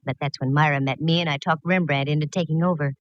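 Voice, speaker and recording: A woman's voice: low-pitched, steady tone, fast talker, a little hiss or hum, natural voice. An actress playing a plucky, wry female private eye, narrating in the style of a 1940s radio drama. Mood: matter-of-fact, explaining. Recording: dull, dry vintage radio recording.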